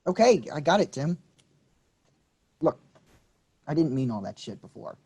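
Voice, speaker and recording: Guy doing a husky young dude, pushy and cussing, kinda high voice. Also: very animated, a little slow, darkish tone, full character voice. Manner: combative, swearing, pushy. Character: young man, quick-tempered city cousin. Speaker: actor in a staged reading.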